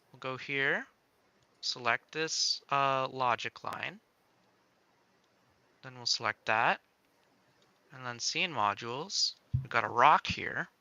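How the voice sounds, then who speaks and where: A man hosts a casual software tutorial stream with a very bright, clean voice. He thinks aloud as he goes from step to step in a playful way. The sound is dry, with hardly any room in it. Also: medium pitch, clean tone, dry recording. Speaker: livestream host demonstrating game-making software.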